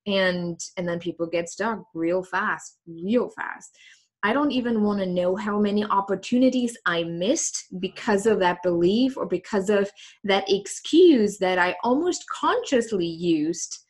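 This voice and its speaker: Woman host, mid-pitched, candid and reflective, with conversational ums. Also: animated, a little slow, husky. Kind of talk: casual interview talk.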